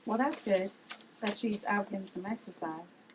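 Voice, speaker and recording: A woman reading the part of a brash, sharp-tongued aunt who likes a drink, in a family drama table read. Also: medium pitch, moderate pace, background noise, natural voice. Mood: brash, nosy.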